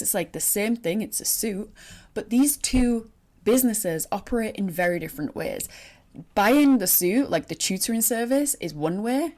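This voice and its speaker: A woman's voice: medium pitch, darkish tone. A young woman with a Yorkshire accent talks in a chatty, encouraging way, coaching teachers and often restarting her phrases with fillers. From northern England. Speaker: online business coach for teachers. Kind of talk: solo talk to camera.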